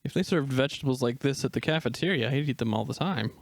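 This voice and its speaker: A man voicing an awkward, self-doubting young male wolf in an amateur dramatic reading, speaking with hesitant pauses. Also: medium pitch, no room sound, clean studio sound, close to an everyday voice. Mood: pleased. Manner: hesitant, halting, unsure.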